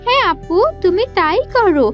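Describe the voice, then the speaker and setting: Woman doing whiny jealous cartoon younger sister, vain, really high voice, faint music. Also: animated, normal speed, muffled recording. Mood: envious. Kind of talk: cartoon fairy-tale acting.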